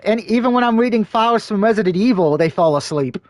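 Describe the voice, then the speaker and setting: Male streamer, crude jokey banter, lively swinging voice, dry close-mic sound. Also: high-pitched, quick pace, no echo. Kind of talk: casual let's-play commentary and reading aloud.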